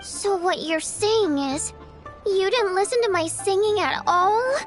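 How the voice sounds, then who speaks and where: A woman playing a proud, easily offended theater singer in scripted video game dialogue, with an expressive, theatrical, pointed delivery. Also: very high voice, a little slow, over music. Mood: wounded restraint.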